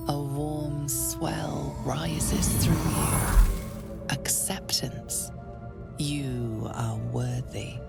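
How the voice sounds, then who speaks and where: A woman playing a solemn, knowing narrator in a fantasy video game, speaking in a hushed, ominous, deliberate way. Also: deep voice, exaggerated voice. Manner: breathy, deliberate, whispery. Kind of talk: scripted second-person game narration. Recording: prominent music behind.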